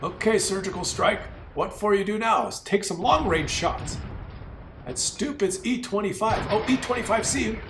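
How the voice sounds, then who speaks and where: A man voicing an excitable, mocking game commentator who speaks broken English in a put-on foreign accent. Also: high voice, normal speed, over music, close to an everyday voice. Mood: eager commentary. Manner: broken grammar, exclaiming and gloating. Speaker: host. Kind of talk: comic commentary on a game replay. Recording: roomy, distant mic with faint noise.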